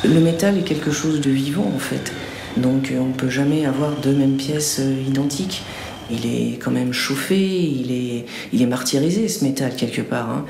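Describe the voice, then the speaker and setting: Woman craftsperson, documentary interview, gravelly voice, vivid and repetitive delivery, roomy noisy workshop sound. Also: rumbling low, moderate pace. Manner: vivid, repeats for emphasis. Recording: roomy workshop with background noise.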